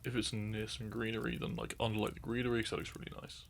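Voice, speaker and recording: A man's voice: on the low side, little pitch movement, quick pace, fairly bright tone, a little hiss or hum. A young man talking to his listeners in a meandering, unscripted ramble. He laughs often, loses his thread, and sips wine as he goes. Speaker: audio content creator.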